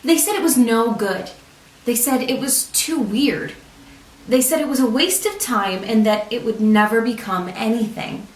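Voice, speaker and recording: Female storybook reader, highish voice with lively melody, deliberate children's read-aloud delivery, recorded in a roomy space. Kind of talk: read-aloud picture book narration. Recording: roomy echo, distant microphone.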